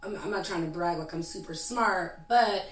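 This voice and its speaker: A woman from Haiti whose first language is Creole, chatting as an interview guest in a talkative, animated way with frequent laughs. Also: lowish voice, moderate pace, echoey room, far from the mic, a little hiss or hum. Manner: chatty, laughs often, animated when storytelling. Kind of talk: conversational interview.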